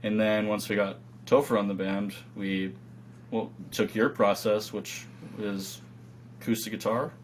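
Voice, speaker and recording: A man's voice: fairly low pitch, flat delivery, measured pace, a little hiss or hum. A man talking casually as a band musician being interviewed.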